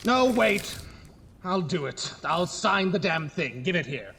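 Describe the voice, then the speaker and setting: A man's voice: high voice, lively intonation, a little slow, creaky, bright, forceful tone, exaggerated voice. A man playing a frantic, exasperated young mad scientist, melodramatic and pleading, in a comic horror audio drama. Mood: indignant. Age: young adult. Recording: noticeable background noise.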